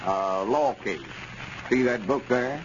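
A man's voice: mid-range voice, slow, close to an everyday voice. An actor playing a vain, self-important backwoods man with a rural Southern accent in a 1930s radio comedy. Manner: pompous, boastful. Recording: scratchy, muffled 1930s radio recording.

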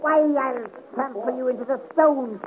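An actor in a 1950s radio adventure serial playing a small, hot-tempered, pompous French artillery captain who explodes with indignation. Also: male voice, very high voice, moderate pace. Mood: furious, blustering. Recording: noisy, tinny old radio recording.

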